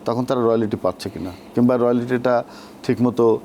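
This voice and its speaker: A man's voice: medium pitch, fairly even intonation, fairly quick, mellow, slight background noise. A man with a Bangladeshi accent hosting an interview in a conversational way, feeling his way through questions and prompting with tag questions. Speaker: talk show host, poet.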